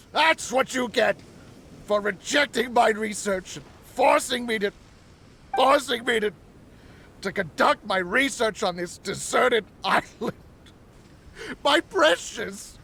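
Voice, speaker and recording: An actor playing a drunken, deranged researcher in a video game, resentful and weepy, stammering in erratic outbursts. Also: male voice, extremely high pitch, expressive, takes it slowly, vocal fry, long pauses, pushed and bright, husky, exaggerated voice. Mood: bitter raving. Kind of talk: video game cutscene acting.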